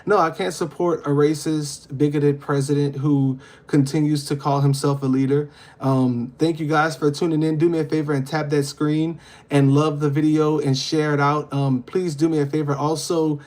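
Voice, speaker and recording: A man's voice: fairly high pitch, a little mellow. A man from hosting a casual livestream, reading viewer comments aloud and answering them with frequent ums and uhs. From Louisiana. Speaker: livestream host.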